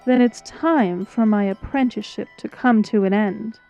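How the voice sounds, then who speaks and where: A woman's voice: medium pitch, normal speed, dark tone, lightly acted. A woman playing a proud, defiant young apprentice sorceress in a dramatized fantasy story, cool and determined. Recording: dull microphone, music behind.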